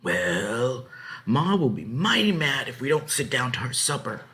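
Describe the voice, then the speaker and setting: An easygoing, middle-aged country farmer and father from a storybook, played by a man reading aloud. He talks in a hesitant, folksy drawl with animated pitch. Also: measured pace.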